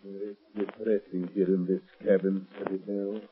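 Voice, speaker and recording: A man's voice: sits low, little pitch movement, a little slow. An actor playing a nervous, fearful, submissive henchman with a gloomy, anxious manner, in 1930s radio drama on a noisy, tinny recording. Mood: gloomy, uneasy.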